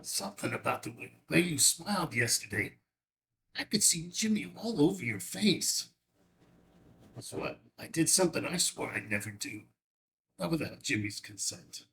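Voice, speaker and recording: A man acting in a table read as an older, gentle rancher and adoptive father, halting and sincere, with a gravelly voice. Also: a little high, very animated, rough recording. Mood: solemn confession.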